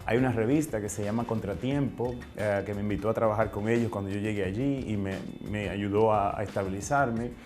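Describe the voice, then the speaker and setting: Low-voiced Dominican man, searching for words. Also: brisk, hardly any pauses, a little mellow, faint music behind. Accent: Dominican Spanish. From Dominican Republic. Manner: hesitant, searching for words, frequent question tags. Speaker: writer and interview guest. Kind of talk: reflective interview talk.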